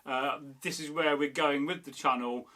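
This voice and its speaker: Guy rambling thru viewer comments, bit higher voice, bright and forceful. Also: fairly even intonation, normal speed. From Derby, England. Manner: rambling, meandering, many fillers and restarts. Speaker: history channel host. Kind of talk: casual chat reading viewer comments.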